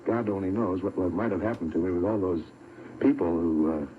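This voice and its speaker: A man with an American accent and a very low voice tells his story haltingly as a show guest. The vintage radio sound is thin and boxy, with slight noise. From Saint Louis, Missouri. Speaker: show guest telling an anecdote. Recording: tinny, noisy old radio recording.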